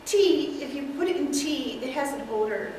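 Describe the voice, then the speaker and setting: A woman's voice: high voice, expressive, moderate pace, darkish tone, very echoey, distant mic, a little hiss or hum. A woman doing a sweet, placid elderly aunt.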